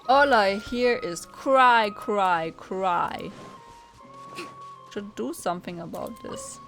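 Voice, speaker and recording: A woman's voice: mid-range voice, expressive, slow pace, fairly bright tone, faint music behind. A woman giving casual gameplay commentary with wry, teasing asides. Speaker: gamer commentator.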